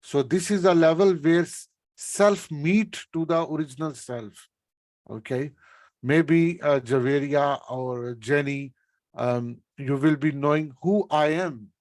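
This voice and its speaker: A man's voice: a little high, darkish tone, dry recording. A man leading a spiritual coaching session, explaining step by step and checking in with listeners by ending his points with "okay?". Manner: unhurried, explaining step by step with many pauses.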